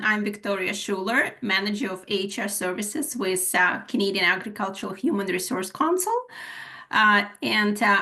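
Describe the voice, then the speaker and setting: A woman's voice: mid-range voice, pushed and bright. A woman with a Ukrainian accent presenting a webinar as an HR manager, with many filler sounds as she goes through her slides. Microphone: video call.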